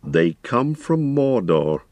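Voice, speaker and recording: A man voicing a blunt, grave, commanding ranger in an audiobook, speaking tersely and deliberately. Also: on the low side, dry recording, natural voice. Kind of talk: audiobook character voice.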